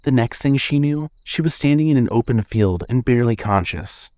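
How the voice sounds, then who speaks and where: A man reading scripted horror fiction as narrator, in a measured storytelling manner. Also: medium pitch, expressive, darkish tone, dry recording, clean studio sound.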